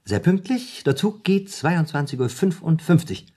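An actor playing an eager storyteller in a German radio play, spinning out a crime plot with theatrical suspense. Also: male voice, fairly high pitch, lively intonation, normal speed, warm tone, slightly rough. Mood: dramatic narrating. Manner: theatrical, suspenseful, acts out lines.

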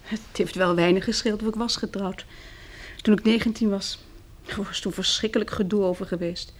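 A poised young woman in a radio play, played by a woman, frank, worldly and a little self-mocking. Her voice is mid-pitched, husky and breathy. She speaks softly and intimately, as a survivor of catastrophe. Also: steady tone, moderate pace, close to an everyday voice. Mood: reflective. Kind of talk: radio drama acting, soft intimate dialogue.

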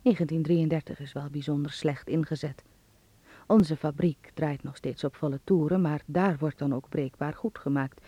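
An actress playing a caring, anxious older relative reading out a letter in a radio drama, gently and in a dark, husky voice. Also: female voice, low-pitched, steady tone, moderate pace, no echo, lo-fi sound. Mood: worried, tender.

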